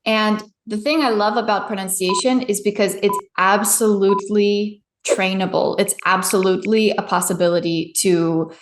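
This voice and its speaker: A woman's voice: medium pitch, steady tone, moderate pace, hardly any pauses, background noise. A woman pronunciation coach explaining her methods in a chatty, conversational way. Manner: chatty, explanatory, laughs easily. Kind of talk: conversational interview. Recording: roomy echo.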